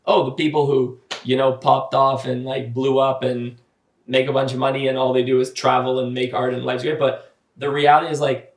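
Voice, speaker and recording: A man's voice: medium pitch, level tone, a little fast. Chatty man in his thirties, roomy echo. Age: 30s. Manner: talkative, earnest, many "like" fillers. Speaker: artist, podcast guest. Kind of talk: casual podcast conversation. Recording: roomy echoey room sound.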